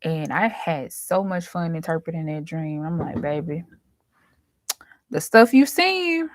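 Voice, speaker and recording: Woman, lowish voice, dry close sound, casual vlog chat, informal colloquial storytelling. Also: animated, measured pace, no room sound. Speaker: host, dream interpreter.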